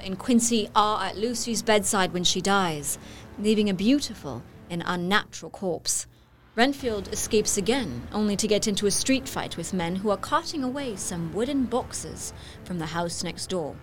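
A woman with a medium-pitched voice serves as announcer and recap narrator. Her delivery is scripted drama narration, with faint music underneath.

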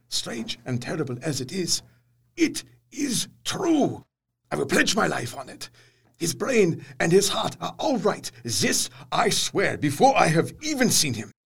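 Casting: effusive older foreign doctor for gothic audio drama; gravelly creak, raised pitch, florid and exuberant. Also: male voice, a bit bright, exaggerated voice. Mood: assuring, emphatic.

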